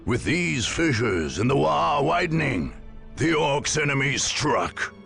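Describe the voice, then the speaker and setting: Gravelly male narrator over music. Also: medium pitch, very animated, slow pace, fairly bright tone. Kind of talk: dramatic scripted game narration. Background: background music.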